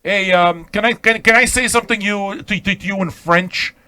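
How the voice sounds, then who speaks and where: Brash higher-pitched man ranting on radio. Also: moderate pace, sharp tone, smooth, no room sound, studio quality. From Montreal, Quebec. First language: Italian. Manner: brash, profane, combative; emphatic, repeats phrases and checks in with "okay?". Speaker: online pirate radio host. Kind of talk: off-the-cuff ranting monologue.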